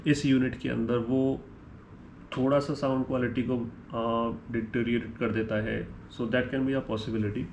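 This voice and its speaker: A man's voice: medium pitch, level tone, a little slow, creaky, darkish tone, lo-fi sound. A man with an Indian accent giving an unscripted, explanatory comparison review, switching between Hindi and English and checking in with the listener as he goes. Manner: ends points with a checking "right?". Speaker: motorcycle gear reviewer. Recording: echoey room with faint background noise.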